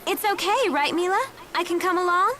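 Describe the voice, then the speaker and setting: Very high, perky teenage girl. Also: fairly quick, a little hiss or hum. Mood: chirpy. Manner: bubbly and expressive. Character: perky, eager, headstrong teenage girl adventurer. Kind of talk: video game English dub acting.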